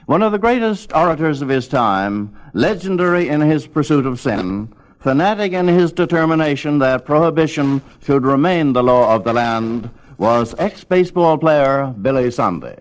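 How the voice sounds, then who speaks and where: A man's voice: a little high, animated, normal speed, low-quality recording. A man reading scripted documentary narration as the narrator of a history of radio.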